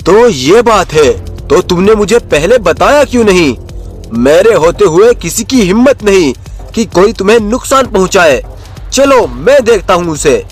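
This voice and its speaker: A man's voice: high-pitched, big pitch swings, measured pace, soft background music. A man voicing a brave young male lion in an animal story, speaking in a declamatory, commanding way. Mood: concerned, assured. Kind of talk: Hindi animal storybook voice acting.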